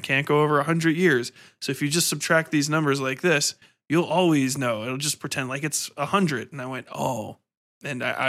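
Bright-voiced man chatting as podcast host. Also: on the high side. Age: 30s. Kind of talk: casual conversational interview.

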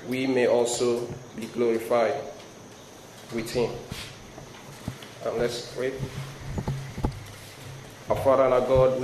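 Man, 30s, Nigerian accent, halting sermon delivery, flat intonation, echoey hall, audible background noise. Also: medium pitch. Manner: hesitant, frequent ums and restarts. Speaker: guest speaker. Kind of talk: sermon with scripture reading and prayer. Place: large hall before a youth crowd.

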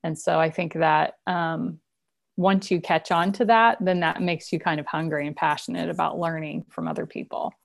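A woman with a low voice in a casual discussion. She speaks in a thoughtful, measured way. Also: fairly even intonation, gravelly.

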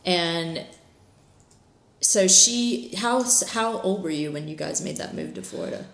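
A woman's voice: fairly low pitch, animated, a little slow, creaky. A woman interviewer from Tennessee with a Tennessee accent, asking curious, friendly questions in a casual interview. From Tennessee, USA. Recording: echoey room.

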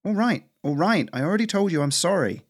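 Man as a young female squirrel, raised pitch, apologetic yet brave, storybook adventure. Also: animated, moderate pace, dry recording, clean studio sound, close to an everyday voice. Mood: defensive.

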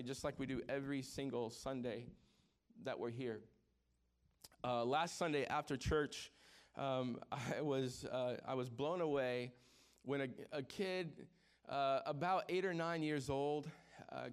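A man in his forties preaching a sermon as pastor, conversational and joking with the congregation, often repeating words as he restarts. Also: medium pitch. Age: 40s.

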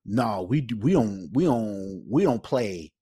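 A man's voice: on the low side, lively intonation, unhurried, dark tone, husky, dry recording, studio quality. A man co-hosting a podcast, giving casual, opinionated commentary bluntly and emphatically, repeating phrases for effect. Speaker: podcast co-host.

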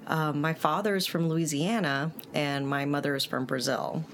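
Deep-voiced woman from Puerto Rico, measured. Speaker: psychologist, interview guest. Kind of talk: conversational interview answers. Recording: clear steady background noise.

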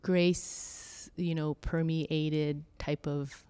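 A woman talking slowly and thoughtfully about faith and church life, pausing often with soft fillers. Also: low-pitched, level tone, clean tone. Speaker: church member interviewee. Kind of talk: reflective conversation.

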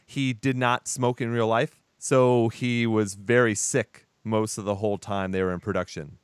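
A man's voice: fairly low pitch, steady tone, normal speed, clear, resonant tone, no room sound, studio quality. A man from Texas co-hosting a podcast, chatting casually about a film with laid-back, profane asides. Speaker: podcast co-host.

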